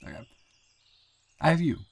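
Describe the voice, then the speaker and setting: A young man acting an earnest, smitten guy new in town in an improvised audio roleplay, speaking intimately and haltingly with repeated words. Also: lightly acted. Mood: earnest, tender. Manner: halting, rambling, with repeated words and nervous chuckles.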